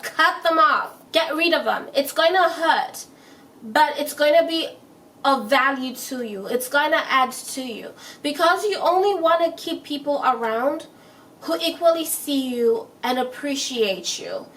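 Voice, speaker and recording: A woman's voice: high voice, lively intonation, unhurried, a bit bright, distant mic. A young woman talking to her viewers in a chatty, emphatic way, repeating her points and laughing as she gives self-help advice. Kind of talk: casual advice monologue.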